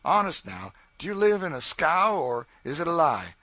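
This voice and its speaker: A man voicing a stern but fair raftsman leader, gruff and commanding. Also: fairly high pitch, very animated, normal speed, bright, forceful tone, no room sound, pitched above the performer's own voice. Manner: gruff, commanding, then lenient.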